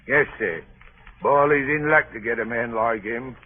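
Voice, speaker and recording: A man's voice: medium pitch, a little slow, husky. A male actor in a 1930s radio drama playing a sly, sarcastic freighter sailor with folksy, mocking phrasing. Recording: scratchy, tinny 1930s radio recording.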